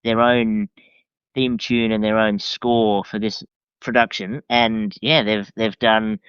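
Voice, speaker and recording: A man with a low, smooth voice talks casually as a podcast guest. His delivery is halting and full of restarts. The recording is dry and a little dull. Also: level tone, a bit bright, dry recording. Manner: hesitant, halting, often restarting phrases. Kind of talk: casual conversational review.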